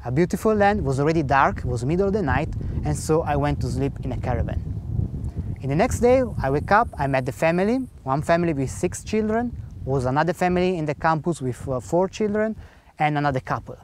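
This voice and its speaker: A man's voice: on the high side, expressive, fairly quick, a little hiss or hum. A man speaking English as a second language, earnestly telling a personal faith story and acting out the dialogue in simple grammar. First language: not English. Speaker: speaker giving a personal testimony.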